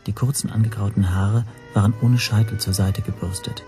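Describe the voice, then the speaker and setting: A male actor as an uneasy, watchful hotel guest narrating a radio play in a low, soft-edged voice. He is measured, quiet and exact, with faint music behind him. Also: fairly even intonation, lightly acted. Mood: observant, measured. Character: nervous, observant male hotel guest telling his story. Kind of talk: scripted first-person radio drama narration.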